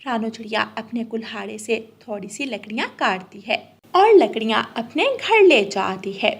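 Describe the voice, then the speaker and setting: A woman's voice: on the high side, animated, moderate pace, breathy edge. A woman narrating a scripted children's story as the storyteller.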